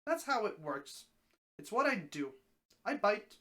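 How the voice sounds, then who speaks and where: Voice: medium pitch, sing-song, a little slow, fairly bright tone. A reader voicing a talking snake from a story, helpful and wry, explaining things in a matter-of-fact way. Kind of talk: storybook dramatic reading.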